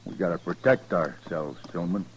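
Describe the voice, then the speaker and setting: An actor playing a matter-of-fact, grimly neighborly American rancher leading a lynching in a radio western, speaking in an unhurried folksy rural way. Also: male voice, deep voice, a little hiss or hum, natural voice. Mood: grimly calm. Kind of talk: 1950s radio western acting.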